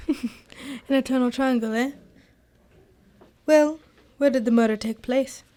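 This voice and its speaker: An amiable gentleman sidekick in a radio mystery play, acted by a woman, pitched fairly high. The talk is chatty and eager, full of exclamations and interest in the detective's work. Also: takes it slowly. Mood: curious, engaged. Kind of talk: amateur radio drama acting.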